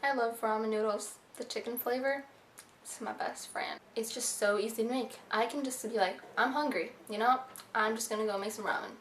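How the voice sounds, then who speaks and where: A woman's voice: a little high, fairly even intonation, fairly quick, a bit bright. A young woman in college, born in Egypt and raised in the USA, talking casually through a list of facts about herself with lots of "like" and fillers. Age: young adult. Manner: chatty, bubbly, filler-heavy with lots of "like". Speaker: beauty vlogger. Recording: echoey room, faint hiss.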